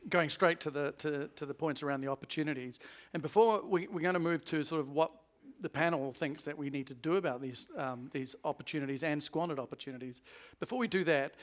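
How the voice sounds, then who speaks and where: A man moderating a panel, brisk and upbeat as he passes questions along. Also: on the high side.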